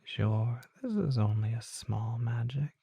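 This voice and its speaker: Courteous ageless vampire collector, played by an actor for ASMR roleplay: very breathy whisper, slow and genteel. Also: mid-range voice, animated, warm tone, no echo, exaggerated voice. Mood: genteel, pleased. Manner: slow, genteel, formal phrasing. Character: courteous, curious old vampire collector and magic shopkeeper. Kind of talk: ASMR roleplay, soft intimate monologue.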